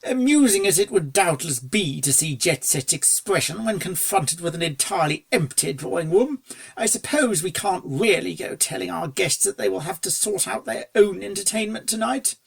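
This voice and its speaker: A reader voicing a suave upper-class gentleman stallion in a storybook, with a posh, drawling, breezy manner and old-fashioned phrasing. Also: medium pitch, expressive, moderate pace, creaky, voice pushed up. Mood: musing, genial. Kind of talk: storybook character dialogue.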